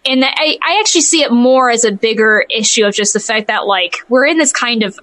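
A woman's voice: on the high side, a little fast, fairly bright tone. A woman co-hosting a casual gaming podcast, giving opinions hesitantly and often circling back to qualify herself. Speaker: podcast co-host.

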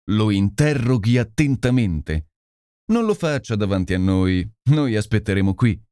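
A male narrator voicing an intense, brilliant detective from a classic mystery audiobook. He gives orders in a commanding, urgent voice with lively intonation. The sound is dry and close. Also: lowish voice, smooth, dry recording.

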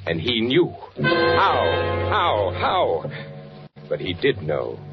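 Low-voiced, brooding trapped undercover man. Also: wildly swooping pitch, very slow, loud music behind the voice, heavily acted. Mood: agitated. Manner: brooding, intense first-person confiding with dramatic repetition. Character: agitated, trapped male architect working undercover in Nazi Germany. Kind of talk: 1940s radio thriller acting. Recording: muffled vintage 1940s radio recording.

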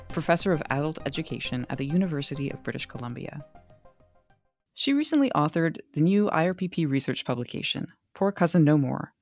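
A woman's voice: low voice, creaky, a little mellow. A woman hosting a podcast, reading a scripted intro and then asking interview questions with some hesitation. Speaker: podcast host.

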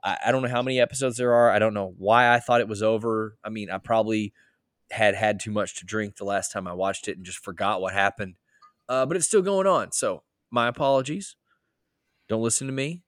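A man co-hosting a podcast, chatting casually in a deadpan, tongue-in-cheek manner. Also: fairly low pitch, fairly quick, no echo, clean studio sound. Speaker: podcast co-host. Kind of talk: casual chat.

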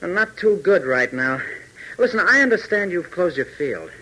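Bright-voiced young radio hero pilot. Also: male voice, high-pitched, big pitch swings, normal speed, heavily acted, poor audio. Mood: firm, persuasive. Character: young heroic airfield pilot, steady and persuasive. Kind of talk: 1940s radio adventure acting. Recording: 1940s radio recording.

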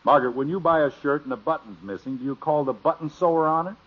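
A man's voice: on the high side, fast talker, husky, lo-fi sound. An American actor playing a stubborn, teasing middle-aged father in a 1950s radio comedy, blustery and emphatic, on an old broadcast recording. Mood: exasperated.

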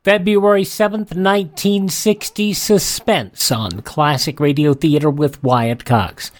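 A man's voice: a little high, unhurried, soft background music. A man hosting a radio program, giving a courteous, folksy scripted sign-off. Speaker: radio show host.

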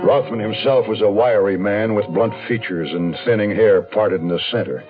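An American actor playing a hunted, weary man on the run who tells his own story in a brooding, deliberate radio mystery style. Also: lowish voice, lightly acted. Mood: wistful. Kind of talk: 1970s radio mystery drama, first-person noir narration. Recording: lo-fi phone-like 1970s radio, faint music.

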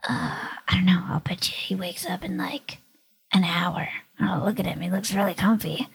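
A woman's voice: lowish voice, normal speed, creaky, slightly rough, full character voice. A woman voicing casual guards gossiping in conspiratorial whispers during a tabletop game. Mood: whispering, amused. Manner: hushed, conspiratorial. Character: two gossiping guards, casual and easily startled.

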